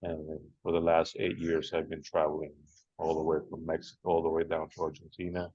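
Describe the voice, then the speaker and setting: Male panelist, Venezuelan accent, middle-aged, husky, halting delivery, dull muffled call audio. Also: a bass voice, flat delivery, darkish tone, no echo. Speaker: panelist, beer writer. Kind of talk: personal account on a panel.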